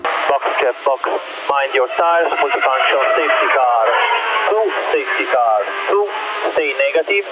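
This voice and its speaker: A man's voice: medium pitch, measured pace, far from the mic. A man making short, repeated procedural calls over motorsport team radio. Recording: muffled team radio under heavy noise.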